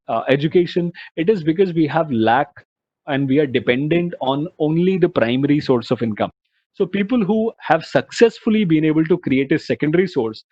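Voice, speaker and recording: A man giving an earnest, explanatory webinar talk, often pausing on "you know" and "uh". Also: medium pitch, dry recording, lo-fi sound. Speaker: webinar presenter on mutual fund investing.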